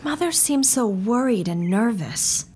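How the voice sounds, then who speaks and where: A woman's voice: fairly high pitch, lively intonation, measured pace, darkish tone, a little hiss or hum. An actress playing a curious, plain-spoken younger sister, a young woman in a period audio drama.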